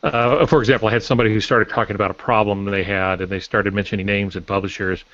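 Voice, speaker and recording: A man's voice: on the low side, steady tone, fast, fairly bright tone, dry recording. A man chatting casually as a podcaster, telling anecdotes with dry humour. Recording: dull, close dry audio.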